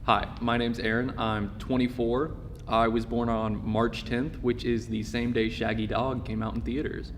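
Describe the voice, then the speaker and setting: Man in his twenties, slow deadpan audition intro, low hiss behind. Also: big-room sound. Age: 20s. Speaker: mock dating show applicant. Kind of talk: audition tape.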